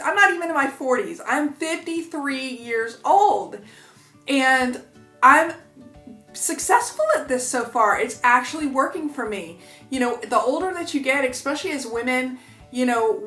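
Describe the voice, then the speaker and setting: A woman's voice: sits high, sharp tone, reverberant. A woman in her fifties vlogging in a chatty, upbeat way, full of fillers and asides to her viewers. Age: 50s. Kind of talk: casual talk to camera.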